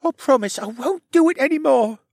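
A man voicing an anxious, tearful young stable boy in an audiobook, with a rough, halting, stammering and pleading delivery. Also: extremely high pitch, expressive, darkish tone, no room sound, studio quality, pitched above the performer's own voice, full character voice. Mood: small, pleading. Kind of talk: audiobook character acting.

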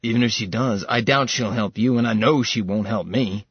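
Sardonic dragon shapeshifter, low and dry, voiced by a man, husky. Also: moderate pace, no echo, muffled recording. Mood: wry. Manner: dry, teasing.

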